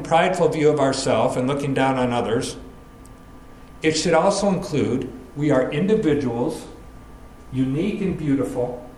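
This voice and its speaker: A man preaching a sermon, explaining scripture in an earnest, measured teaching manner. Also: fairly high pitch, echoey room, slight background noise, low-quality recording. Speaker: pastor. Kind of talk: expository sermon.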